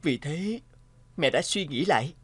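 A man playing a troubled, sentimental family man in an animated drama, speaking earnestly and reflectively. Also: fairly high pitch, measured pace, husky, full character voice. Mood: puzzled.

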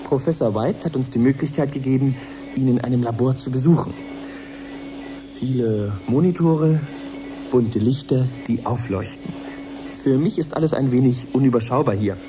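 An actor playing an eager male science reporter in a radio drama, commenting live with measured wonder. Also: mid-range voice, noisy background, natural voice. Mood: eager, formal.

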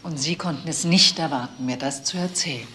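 A woman's voice: sits low, a little fast, very noisy, close to an everyday voice. A woman playing an embittered businesswoman and widow in a crime radio drama, speaking in a bitter, clipped way.

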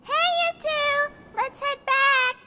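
Very high, cheerful girl played by a woman. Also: steady tone, slow, a little hiss or hum, heavily acted. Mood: cheerful, playful. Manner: bright-spirited, earnest. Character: cheerful, teasing, hopeful young girl. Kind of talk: manga dub voice acting. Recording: loud music under the voice.

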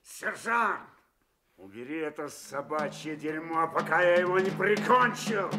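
An actor playing a hot-tempered, menacing police captain in a Russian radio drama, barking threats and taunts. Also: male voice, a little high, lively intonation, unhurried, over music. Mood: enraged, goading.

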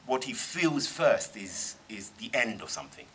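A middle-aged man speaks on a panel in a cinema screening room. His tone is bright and ringing, and he argues with emphasis, circling back and restarting. A faint hum of the room sits behind him. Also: mid-range voice, sing-song, unhurried. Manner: thoughtful, emphatic, many restarts. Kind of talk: panel discussion.

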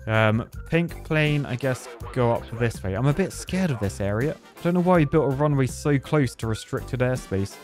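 A British man hosts a gaming video with casual, running commentary. His voice sits at an average pitch, and he talks in a chatty, joking way, reasoning out loud as he plays. Music plays faintly behind him. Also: animated, normal speed, hardly any pauses. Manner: jokey, chatty, thinking aloud to the planes. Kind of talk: casual live gameplay commentary.

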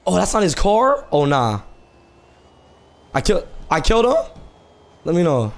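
A man's voice: high voice, sing-song, measured pace, creaky. An American man chatting on a casual gameplay stream in brash, profane, repetitive street slang. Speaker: live streamer playing a GTA roleplay game.

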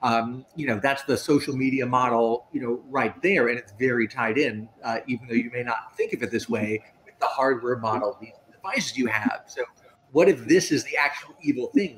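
A middle-aged man talking casually on a panel, often halting and repeating his words. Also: medium pitch, a bit bright. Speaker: panelist, tech reporter and game designer. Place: indoor room at home. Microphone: video call. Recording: noisy home video-call audio.

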